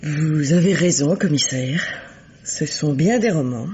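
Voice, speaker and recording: An actress playing a composed, coolly bitter middle-aged widow in a French radio mystery play, speaking formally and deliberately. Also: female voice, sits low, a little mellow, a little hiss or hum. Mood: measured, disdainful. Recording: dull, lo-fi vintage radio recording.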